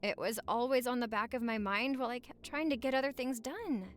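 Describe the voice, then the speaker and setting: A woman's voice: a little high, a little fast, lightly acted. A woman acting a playful, lovestruck newlywed wife in a romantic audio roleplay, teasing and flirting in intimate, easily flustered banter. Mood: affectionate banter.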